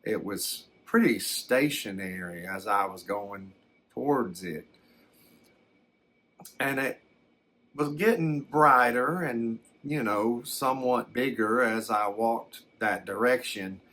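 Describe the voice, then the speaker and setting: A man plays a folksy fellow telling his own strange tale for ASMR. His voice sits at an ordinary male pitch and moves very slowly. He rambles plainly, halting and restarting as he recollects. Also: a bit bright. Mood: musing, matter-of-fact. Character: folksy man recounting his alien abduction. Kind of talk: unhurried ASMR first-person storytelling.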